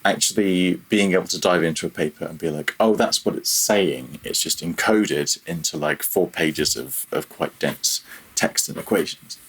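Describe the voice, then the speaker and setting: Very low-voiced man, slightly husky. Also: moderate pace, a bit bright, rough recording. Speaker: guest, freelance audio software developer. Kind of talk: casual technical interview chat.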